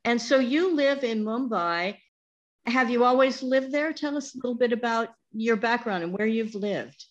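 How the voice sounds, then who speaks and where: A woman's voice: a little high, animated, normal speed, lo-fi sound. A woman hosting a conversational interview, asking questions and chatting with her guest.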